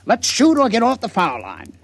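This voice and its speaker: A man's voice: extremely high pitch, huge pitch swings, moderate pace, fairly bright tone, husky, heavily acted. An actor playing a shrewd, impatient gambling boss in a 1950s radio drama, with curt, repetitive tough-guy slang. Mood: wry, tough.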